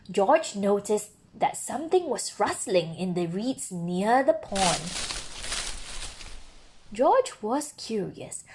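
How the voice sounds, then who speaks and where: A woman reading a children's story aloud with expressive, dramatic emphasis for young listeners. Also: a little high. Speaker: storybook reader.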